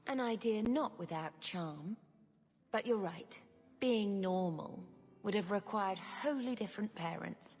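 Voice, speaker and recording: An actress playing a gentle, reflective young mage in a video game, speaking earnestly and wistfully. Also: female voice, mid-range voice, a little slow, breathy edge. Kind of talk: video game character acting. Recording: long reverb, soft music behind.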